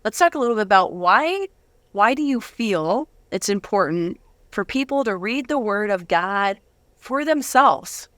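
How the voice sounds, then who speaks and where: A woman's voice: medium pitch, expressive, a little slow, fairly bright tone, dry recording. A woman interviewing a guest in a casual, chatty and affirming way. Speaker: interviewer, host.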